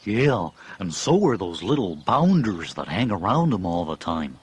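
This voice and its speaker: An actor playing a friendly, simple, folksy creature sidekick, curious and plain-spoken, in a children's audio storybook. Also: male voice, low voice, sing-song, a little slow, breathy edge, exaggerated voice.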